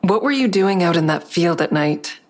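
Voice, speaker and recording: Woman acting low-voiced weary, guilt-ridden heroine. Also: expressive, normal speed, no room sound, natural voice. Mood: weary, pressing. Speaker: narrator and lead actor. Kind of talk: audio drama first-person narration and dialogue.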